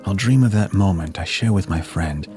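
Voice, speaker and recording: Guy reading the part of an earnest hopeful storybook kid, deep voice, wistful rhymes, music behind. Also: moderate pace, natural voice. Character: earnest, hopeful child dreaming of Santa.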